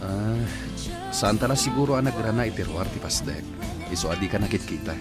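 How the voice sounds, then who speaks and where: A man's voice: fairly low pitch, animated, brisk, over loud music, natural voice. A man playing a reluctant, mildly grumbling fellow in an Ilocano comedy radio drama. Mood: puzzled, grumbling.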